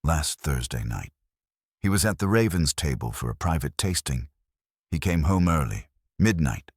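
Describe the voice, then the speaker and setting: A man's voice: rumbling low, big pitch swings, normal speed, no echo, studio quality, exaggerated voice. A man voicing a nervous, grieving widow in her thirties, pleading and holding herself together.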